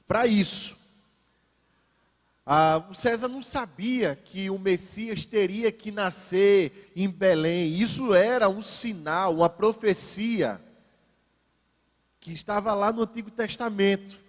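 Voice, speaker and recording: A man with a high-set voice and a Northeastern Brazilian accent preaches in a large church. His phrasing is deliberate and emphatic, built on repeated phrases. The hall adds a long reverb to his words. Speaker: pastor preaching at a church service. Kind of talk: sermon.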